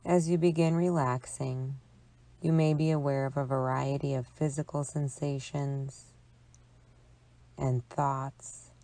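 Woman, guided imagery narration, deep register, unhurried slow pace, calm and soothing, long pauses. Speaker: psychologist leading guided imagery. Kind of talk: guided relaxation meditation narration.

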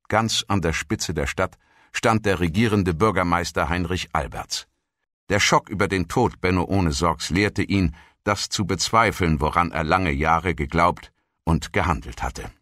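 A man with a very low voice narrates a documentary. He reads from a script as a narrator. The sound is very dry, with hardly any room in it. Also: a bit bright, dry recording.

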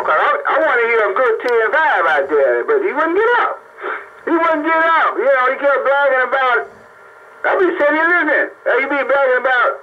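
A man bantering casually over CB radio, repeating phrases for emphasis, heard through the radio's speaker. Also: very high voice. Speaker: CB radio operator. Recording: muffled CB radio with faint noise. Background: faint radio noise.

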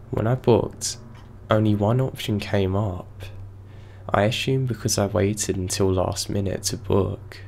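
A man's voice: fairly low pitch, creaky. A man reading a first-person horror story aloud, sounding unwell, with his voice a bit off. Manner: slow, steady storytelling delivery. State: unwell, voice affected.

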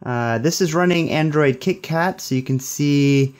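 A man's voice: a little high, unhurried, a little mellow. A young man giving a casual hands-on tech demo in an upbeat, approving manner. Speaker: tech reviewer.

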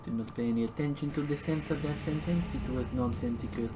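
A man's voice: mid-range voice, fast, over music, close to an everyday voice. A young man voicing a pompous, bossy mock-French man with stilted phrasing while playing a game. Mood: mock threatening. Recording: noisy room.

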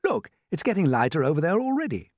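A man's voice: on the high side, wildly swooping pitch, quick pace, a little mellow, dry recording, studio quality, pitched above the performer's own voice, full character voice. A man voicing an eager, confident young boy in a storybook, explaining things keenly. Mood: reassuring. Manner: keen, reassuring explaining.

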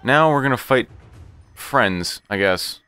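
Bright-voiced man doing flippant game commentary. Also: medium pitch, animated, takes it slowly, clean tone, faint music behind. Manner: flippant, boastful joking, casual swearing. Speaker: gaming video host. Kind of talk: casual gameplay commentary.

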